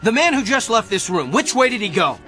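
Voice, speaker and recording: A man's voice: high-pitched, very animated, fairly quick, sharp tone, soft background music, heavily acted. A man acting in a radio drama, playing an arrogant, self-assured and brusque doctor. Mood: alarmed. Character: brilliant, arrogant, tactless male doctor. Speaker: actor.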